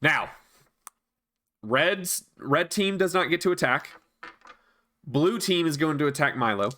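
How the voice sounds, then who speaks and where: Guy dming a tabletop game, bright crisp-edged voice, slow-ish pace calling out rolls and moves. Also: a little high, animated. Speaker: game master.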